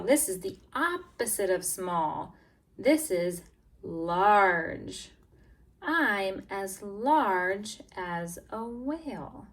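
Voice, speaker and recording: A woman teacher doing a read-aloud lesson for young English learners, expressive and encouraging, stressing key words and prompting listeners with questions. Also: mid-range voice. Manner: expressive, exaggerated storytelling, emphasizing key words. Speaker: teacher reading a picture book to English language learners.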